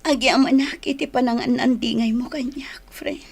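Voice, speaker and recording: A woman's voice: high-pitched, steady tone, vocal fry, a crowd in the background. A woman acting a heartbroken young woman in a radio drama, pleading, with an Ilocano Filipino accent. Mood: sad, subdued. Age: young adult. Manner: pleading, drawn-out delivery. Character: heartbroken young woman, dumped by her boyfriend, tearful.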